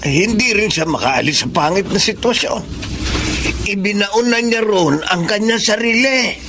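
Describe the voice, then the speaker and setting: A man's voice: sits high, expressive, unhurried. An actor with a Filipino accent playing a concerned, sensible male neighbour, earnest and matter-of-fact, in a radio drama. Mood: grave concern.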